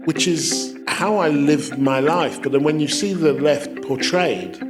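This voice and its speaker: A man speaks in a wry, conversational tone in a podcast trailer clip. Loud plucked guitar music plays behind him. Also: on the high side, unhurried. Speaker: podcast co-host.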